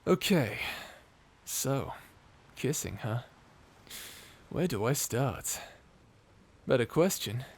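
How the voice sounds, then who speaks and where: A man's voice: mid-range voice, animated, takes it slowly, husky, exaggerated voice. A man playing a flirty, self-deprecating space pirate captain in an intimate audio roleplay, with a hesitant, nervously laughing manner. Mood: teasing, flirty. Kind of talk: intimate ASMR roleplay acting. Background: music.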